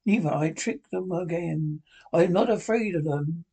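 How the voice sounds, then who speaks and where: A man voicing a dying, rum-craving old sea captain in a feeble, husky voice, pleading and cursing. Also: fairly high pitch, warm tone. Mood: agitated. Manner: pleading, wheedling, cursing, slow and drawn out. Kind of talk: audiobook character voice.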